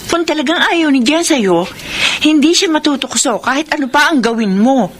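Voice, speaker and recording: An indignant, protective young woman friend in a radio drama. Her voice is high and swings widely in tone. Faint ambience lies behind her. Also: expressive, normal speed, a little hiss or hum. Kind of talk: Filipino radio drama acting.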